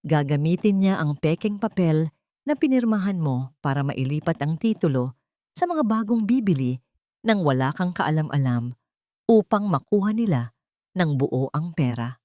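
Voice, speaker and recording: A woman voicing a calm, sympathetic male lawyer who explains grave news gently in an audio drama. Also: low voice, normal speed, dry recording, clean studio sound. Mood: grave, warning.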